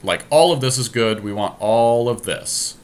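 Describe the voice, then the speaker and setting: A man's voice: medium pitch, unhurried. A man giving casual strategy commentary as a podcast host during a card game draft, deliberating aloud as he goes.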